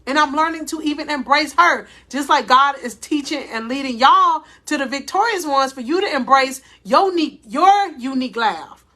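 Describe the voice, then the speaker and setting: A woman life coach giving a faith-based motivational talk, with a loud voice, speaking deliberately slowly and calling her listeners "y'all". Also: high-pitched, expressive. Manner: loud voice by her own account.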